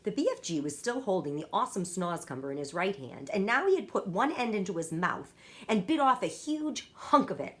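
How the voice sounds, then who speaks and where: A woman narrates a children's storybook with very animated, swooping intonation. Her voice sits at a middle pitch for a woman. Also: a little fast. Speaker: storybook reader.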